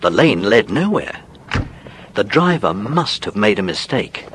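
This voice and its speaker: A man's voice: lowish voice, big pitch swings, a little slow, a bit bright, slight background noise. A man narrating a children's story from a script, as in an audiobook drama.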